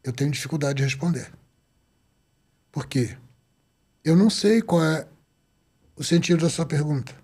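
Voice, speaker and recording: A man in his seventies from the Azores, a doctor telling his story in an interview, lively and acting out the dialogue he recalls. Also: on the high side, moderate pace, pauses often, husky. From Azores, Portugal. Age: 70s. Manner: lively, acts out quoted dialogue. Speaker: doctor guest.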